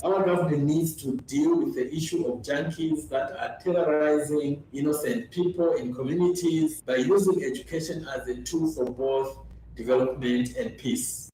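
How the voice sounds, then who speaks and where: A man's voice: a little high, mellow. A man delivering a formal prepared statement as an organisation's director. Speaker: education coalition executive director. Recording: echoey room, distant mic, faint noise.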